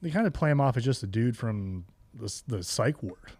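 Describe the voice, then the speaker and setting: A man's voice: mid-range voice, expressive, moderate pace, darkish tone, breathy edge. A man co-hosting a podcast, chatting casually. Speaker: podcast co-host. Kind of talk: casual chat.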